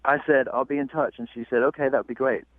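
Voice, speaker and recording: A man's voice: mid-range voice, steady tone, quick pace, no echo. A man with an English accent chatting casually as a radio caller, a bit hesitant and repeating himself. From England. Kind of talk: casual phone chat. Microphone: telephone. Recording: thin narrowband phone line, dry.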